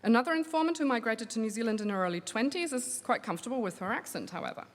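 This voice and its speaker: A woman researcher giving an academic conference lecture, measured and steady, with frequent um fillers. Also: on the high side. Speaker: linguistics researcher giving a plenary talk. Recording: long hall reverb.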